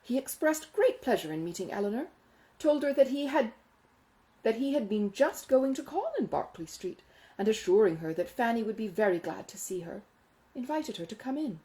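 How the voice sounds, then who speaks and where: Woman reading a classic novel audiobook, warm mellow tone, really lively pitch. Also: normal speed. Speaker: audiobook reader. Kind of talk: expressive literary narration of a classic novel.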